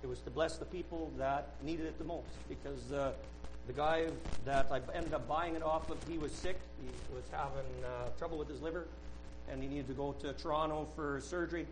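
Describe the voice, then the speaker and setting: A man tells his testimony aloud during a church service, earnest and halting as he keeps circling back to restart his sentences. His voice sits a little high for a man, and a faint electrical hum runs beneath it. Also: steady tone, rough recording. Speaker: church member giving a testimony. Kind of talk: rambling spoken testimony.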